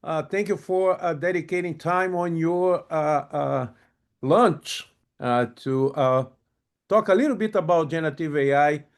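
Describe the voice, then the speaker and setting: A man's voice: fairly high pitch, a little slow. A man with a Brazilian Portuguese accent, who first spoke German and French, giving a friendly webinar talk, often hesitant and full of fillers, on a video call. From grew up in a German- and French-speaking home, moved to Brazil. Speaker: webinar presenter on project management. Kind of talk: live webinar presentation.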